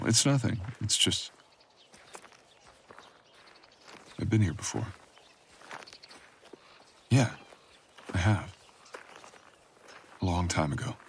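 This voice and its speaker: A man playing a brooding, possessive, teasing boyfriend in an intimate, unhurried ASMR audio roleplay. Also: low voice, expressive, slight background noise. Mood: wistful, distracted.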